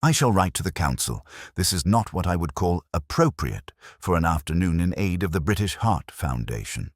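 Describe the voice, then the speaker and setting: A prim village matron, played by a man, flustered and easily affronted. The voice is husky and low, lifted a little for fussy indignation in a storybook mystery. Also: sing-song, normal speed, dry recording, studio quality.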